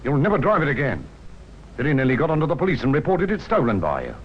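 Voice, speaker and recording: A man's voice: on the high side, very animated, fast talker. An actor playing a stern, indignant middle-aged father, blustering in an old radio crime drama. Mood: outraged. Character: outraged stern father of a wayward grown son. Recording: muffled, hissy vintage radio recording.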